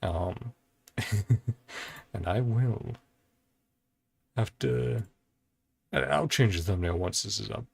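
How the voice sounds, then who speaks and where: Gravelly, husky man giving chatty reaction commentary. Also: on the low side, takes it slowly, long pauses, no room sound. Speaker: host of a video reaction and ranking series.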